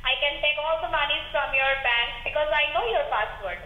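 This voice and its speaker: A woman's voice: high-pitched, normal speed. A woman working a phone call as a tech support agent, insistent, in non-native English phrasing. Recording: muffled, echoey telephone call.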